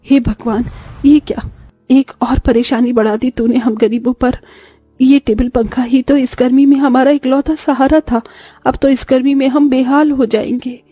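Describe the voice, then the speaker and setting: A woman's voice: high-pitched, fairly even intonation, moderate pace, warm tone, no room sound, soft background music. A woman voicing a poor, worn-out middle-aged mother and wife in a cartoon, lamenting and placating.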